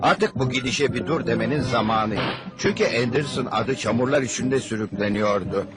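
A man's voice: medium pitch, moderate pace, natural voice. A man voicing a self-righteous, resolute narrator in a Turkish cartoon dub. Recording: loud music under the voice.

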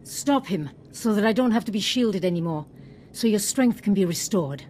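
A woman's voice: mid-range voice, lively intonation, moderate pace, a little mellow, a little hiss or hum, close to an everyday voice. An actress playing a kindly, solemn female Jedi master recovering from illness in a video game. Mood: calm, earnest.

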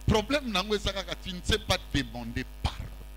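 A middle-aged preacher with a high, bright voice that swoops and climbs as he works the room. He keeps pulling answers from the congregation on a handheld mic. A faint hum runs under the recording. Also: very animated, fairly quick. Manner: call-and-response preaching, mixing French and Lingala; walks about the stage while preaching. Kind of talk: sermon. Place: large church hall with congregation.

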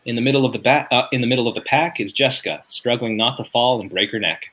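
A man with a medium-pitched voice narrates a sitcom script. He reads the scene headings and stage directions from home over a video call. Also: fast talker, a bit bright, a little hiss or hum.

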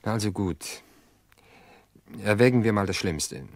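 An actor playing a calm brother-in-law in a radio drama, soothing and dismissive in a measured way. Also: male voice, on the low side, no room sound. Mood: probing. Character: calm, reassuring brother-in-law, reasoning away suspicion.

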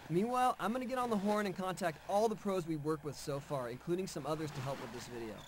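An upbeat American pro skater from a video game, acted by a man. He talks fast in a slightly high, casual, encouraging voice, with noisy game audio behind him. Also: close to an everyday voice. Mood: rallying. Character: upbeat take-charge male pro skateboarder. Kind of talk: video game cutscene acting.

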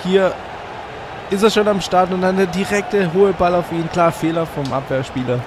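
A man with a slight cold hosting let's play commentary on a football video game from a hot room at home, getting excitable and shouting at goals. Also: sits high, normal speed. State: a bit congested with a cold. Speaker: gaming channel host. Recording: audible fan noise.

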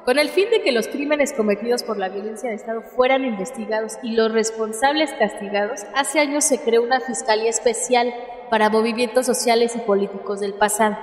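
Woman playing political activist, radio serial, earnest speechmaker, higher pitch, roomy echo. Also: brisk, natural voice. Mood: earnest, explanatory. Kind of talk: radio drama acting. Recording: echoey hall.

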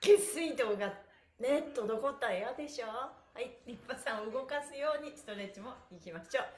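A woman's voice: on the high side, animated, moderate pace, a little mellow. A middle-aged woman leading a live stretching class with cheerful, polite and playful coaching, chatting and counting as she goes. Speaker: stretching instructor and pantomime performer. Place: small room indoors, on an exercise mat. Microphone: camera or phone a short distance away. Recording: roomy, echoey distant device mic.

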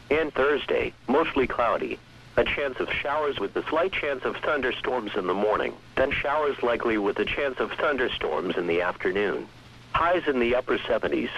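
Synthetic male weather radio announcer, American, mid-pitched, impersonal scripted read, dull broadcast sound. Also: a bit bright. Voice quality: synthetic text-to-speech voice. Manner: measured, impersonal. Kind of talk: scripted weather bulletin reading.

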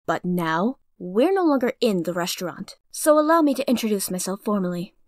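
A woman voicing a stern, composed guild mistress creature in a comic dub, speaking formally and with measured authority. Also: mid-range voice, big pitch swings, no echo, studio quality, natural voice. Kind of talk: fan comic dub voice acting.

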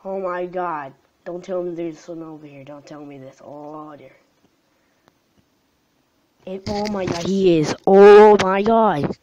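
A young woman with a tired voice commenting on a game she is playing late at night at home, gasping and jumping between alarm and hushed whispers. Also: on the low side, steady tone, measured pace, pauses often, highs cut off. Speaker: gaming channel host. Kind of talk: live gameplay commentary.

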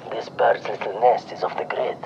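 An actor playing a sly, watchful surveillance spy in a video game, speaking with dramatic, wry phrasing over radio. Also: male voice, medium pitch, steady tone, normal speed. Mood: sly, focused. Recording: muffled, noisy radio transmission.

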